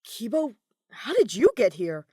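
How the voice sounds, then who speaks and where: A woman's voice: a little high, huge pitch swings, a little slow, mellow, husky, dry recording, studio quality, voice pushed up, full character voice. A woman voicing a brash, loyal young man who blurts and stammers, rattled. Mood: bewildered.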